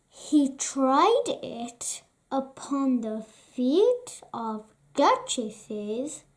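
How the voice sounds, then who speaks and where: A woman reads a bedtime storybook aloud in a high, bright voice. Her pitch swoops up and down in a sing-song way, and she takes it very slowly, lingering on each phrase. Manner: slow, sing-song, expressive reading. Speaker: storybook reader.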